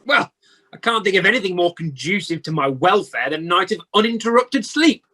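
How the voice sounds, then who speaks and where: Old male miser, acted out, radio drama: raspy, animated, blustering and stammering, easily flustered. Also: mid-range voice, a little fast. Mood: nervous bluster. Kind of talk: radio play acting.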